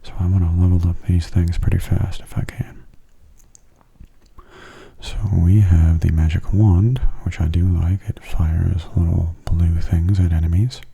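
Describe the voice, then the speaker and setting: Soft, breathy low-voiced man, very mellow. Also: flat delivery, dull audio. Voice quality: soft, breathy, often half-whispered. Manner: gentle, unhurried, low-key. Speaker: gamer giving gameplay commentary. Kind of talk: soft-spoken ASMR gameplay commentary.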